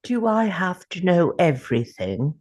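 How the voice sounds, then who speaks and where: A woman's voice: on the low side, big pitch swings, measured pace, darkish tone. A woman playing a brooding, anxious time traveller who talks aloud in a dramatic script read-through. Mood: weary, defensive. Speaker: actor at a table read.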